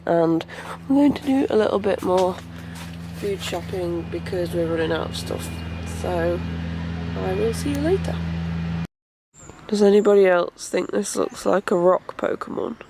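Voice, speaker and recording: A woman's voice: lowish voice, a little slow, noisy background. A young woman, chatting casually in a holiday vlog with dry, wry humour. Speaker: vlogger. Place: on the move, outdoors and in a car while on holiday.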